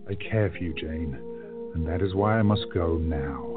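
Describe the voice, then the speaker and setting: A man's voice: sits low, moderate pace, lightly acted. A man playing an earnest, commanding time-travelling adventurer in a radio play. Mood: solemn. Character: Joe, brisk adventurous time-travelling hero. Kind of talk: amateur radio play acting. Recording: tinny phone-like sound, faint music.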